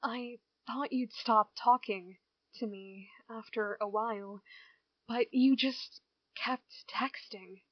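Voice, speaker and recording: A woman playing a withdrawn small-town girl in an amateur audio drama, hesitant, halting and apologetic. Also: fairly high pitch, steady tone. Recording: dull, dry lo-fi home recording.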